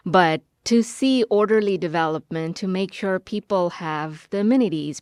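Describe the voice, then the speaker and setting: A woman's voice: lowish voice, clean tone, no room sound, clean studio sound. A woman from Bangladesh, a city planning director as a podcast guest, speaking earnestly and reflectively with searching restarts. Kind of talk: podcast interview.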